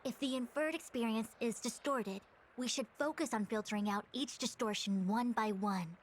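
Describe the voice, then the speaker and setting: A woman voicing a composed, stern female diviner in an anime-style video game, speaking in formal, measured, wordy phrases. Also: fairly high pitch, natural voice, low-quality recording. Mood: stern, explaining. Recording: music behind the voice.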